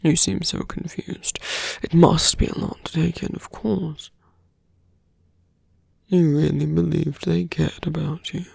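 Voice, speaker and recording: A suave male villain, acted out by a performer for an intimate ASMR roleplay. He speaks very slowly and deliberately, with heavy gravel and breath in the voice, often dropping close to a whisper. The sound is dry and close, and his manner is teasing, condescending and quietly menacing. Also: medium pitch, level tone, no echo, full character voice. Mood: soft, coaxing.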